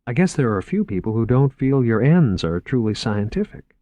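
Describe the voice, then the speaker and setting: A sharp-witted young woman researcher with a cool, pointed, restrained delivery, read by a male narrator. The sound is dry and close. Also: mid-range voice, sing-song, fairly quick, no echo, phone-quality sound, natural voice.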